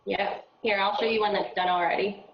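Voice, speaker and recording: Froggy-voiced woman walking through software. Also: medium pitch, fairly even intonation, brisk, echoey room, muffled recording, a little hiss or hum. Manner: casual, filler-heavy explaining. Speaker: trainer. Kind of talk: casual screen-share training.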